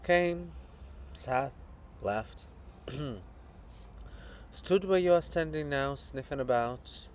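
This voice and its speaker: A man voicing a monument of a brooding, fatherly old poet in a stage drama, speaking in a solemn, searching, deliberate way. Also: medium pitch, animated, slight background noise. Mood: probing, brooding.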